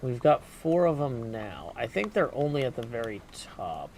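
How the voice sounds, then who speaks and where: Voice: medium pitch, sing-song, moderate pace, a little mellow. A game streamer giving casual livestream commentary, muttering through choices and reading game text aloud, with noise behind the voice. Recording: clear background noise.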